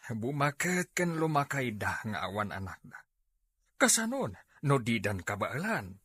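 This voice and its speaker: A man playing a disapproving older father who longs for grandchildren in an audio drama, grumbling and critical, with a husky voice and an Ilocano accent. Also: mid-range voice, big pitch swings, unhurried, fairly bright tone, dry recording, full character voice. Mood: disapproving, wistful.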